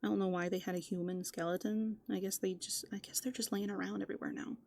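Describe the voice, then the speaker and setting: A soft-spoken woman doing rambling, playful ASMR as a raccoon, musing aloud and often slipping into whispers. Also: mid-range voice, fairly even intonation, quick pace, creaky. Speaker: ASMR host. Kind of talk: furry roleplay ASMR, improvised rambling.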